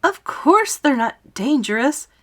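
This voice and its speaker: A woman's voice: high voice, sing-song, slow pace, a bit bright, pitched above the performer's own voice, heavily acted. A woman voicing a cheerful, polite small boy in a storybook, eager and proud, with a lilting delivery. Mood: proud, fond. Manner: eager, lilting, recites in rhyme.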